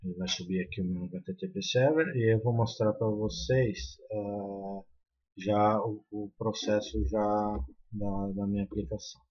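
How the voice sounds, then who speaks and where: Husky Brazilian man teaching code. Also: lowish voice, fairly even intonation, unhurried, a little mellow, muffled recording. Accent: Brazilian Portuguese. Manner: explains step by step, many fillers and restarts, tag questions. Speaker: programming tutor. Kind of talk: screencast coding tutorial.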